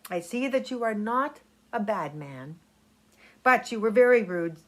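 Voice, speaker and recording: A commanding storybook pirate queen, played by a woman reader, stern but fair. She declaims her terms firmly and slowly, every phrase given weight. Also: fairly high pitch, lively intonation. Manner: firm, declamatory.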